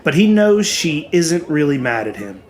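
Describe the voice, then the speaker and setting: A man reading a horror story as a dramatic audiobook narrator, in a measured, expressive way with deliberate pauses. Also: a little high, a little hiss or hum. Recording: music bed under the voice. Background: background music.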